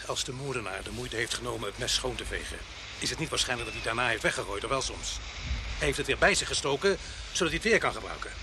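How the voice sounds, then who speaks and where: A man's voice: medium pitch, lively intonation, fast talker, natural voice. A man playing a grumbling, sardonic police sergeant in a radio play. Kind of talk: Dutch radio play acting. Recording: noisy outdoor radio drama scene.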